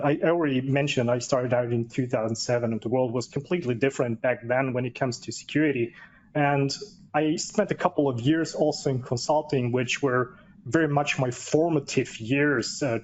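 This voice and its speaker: A man's voice: medium pitch, a little mellow, highs cut off. A man speaking English as a non-native speaker, introducing himself in a chatty, self-deprecating way on a webinar panel. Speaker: cybersecurity executive and panelist. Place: based in Vienna.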